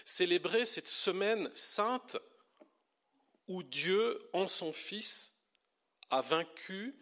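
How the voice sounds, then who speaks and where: A middle-aged man from Belgium, a pastor and theology teacher, preaching a sermon with lively delivery that slows down to stress key words. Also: on the high side.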